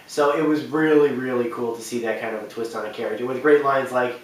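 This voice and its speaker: A man's voice: mid-range voice, fairly even intonation, fast talker. A man hosting a countdown video with enthusiastic, rambling casual commentary. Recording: very echoey room, distant mic.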